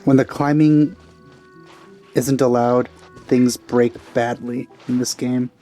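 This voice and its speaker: A man's voice: medium pitch, steady tone, slow pace, mellow, faint music behind. A man giving casual commentary as he plays a video game. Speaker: video game let's player.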